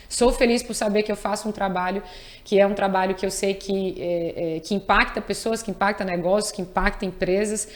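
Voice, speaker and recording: A woman, a tax lawyer talking earnestly in an interview and repeating key words for emphasis. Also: mid-range voice. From Piauí, Brazil. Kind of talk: conversational interview.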